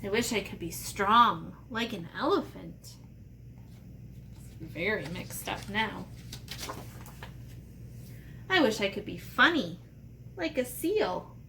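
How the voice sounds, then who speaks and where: A woman's voice: medium pitch, lively intonation, slow pace, vocal fry, pauses often, bright, forceful tone, slight background noise. A woman teacher talking to children in simple, playful, step-by-step language, as in a read-aloud and drawing lesson. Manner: simple, child-directed explanations with playful asides. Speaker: elementary art teacher.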